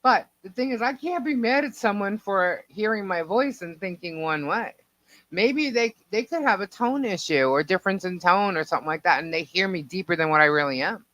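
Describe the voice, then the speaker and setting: A woman with a deep voice co-hosting a casual podcast, talking emphatically and at length. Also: animated, a little fast, fairly bright tone, no echo. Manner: emphatic, talks at length, repeats herself. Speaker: podcast co-host. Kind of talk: casual opinionated chat.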